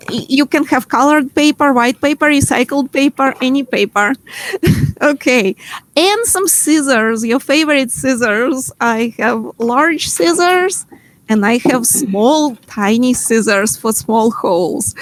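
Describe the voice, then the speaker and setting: A middle-aged woman from Ukraine with a Ukrainian accent, leading an online math workshop from home over a video call, giving gentle, playful instructions with many pauses and fillers. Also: high-pitched, no room sound, poor audio.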